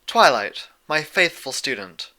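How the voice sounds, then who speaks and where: Man voicing a serene, bright-voiced pony princess. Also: mid-range voice, big pitch swings, pitched above the performer's own voice, heavily acted. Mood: warm greeting. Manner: gentle, measured, gracious. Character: serene, motherly female pony ruler and mentor.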